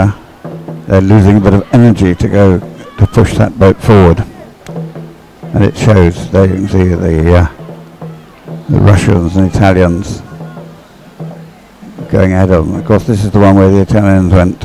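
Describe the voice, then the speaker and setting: A man giving conversational live sports commentary as an expert analyst, explaining haltingly with repeated words. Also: deep voice, level tone, vocal fry, warm tone, faint music behind, low-quality recording.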